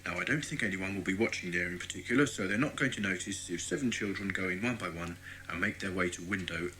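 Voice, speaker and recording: An actor playing a friendly, obliging British police inspector in a children's audio drama, courteous and explanatory. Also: male voice, low voice, fast talker, bright, forceful tone, slight background noise, low-quality recording. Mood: reassuring.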